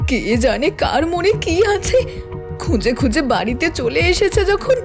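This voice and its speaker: A woman's voice: sits high, big pitch swings, measured pace, gravelly, over music, full character voice. A woman playing a frightened, distrustful housewife in an audio drama, panicky and urgent. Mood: terrified, suspicious. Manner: panicky, urgent, repeating herself. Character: terrified, distrustful middle-class housewife. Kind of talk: Bengali audio story acting.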